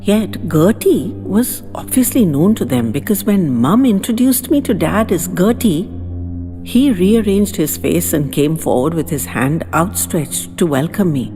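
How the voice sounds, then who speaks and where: A genteel woman in her seventies, acted by a female narrator tells her story with animated, swooping intonation. Her voice sits slightly low, in long reverb over music. The wording is old-fashioned and dramatic, in the manner of an audio story. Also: a little slow. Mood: reflective. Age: 70s.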